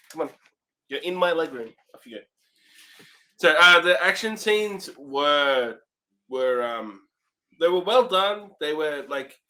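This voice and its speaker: A man with a slightly high voice that moves up and down in a lively way. He speaks as a co-host on a review show. His style is casual and conversational.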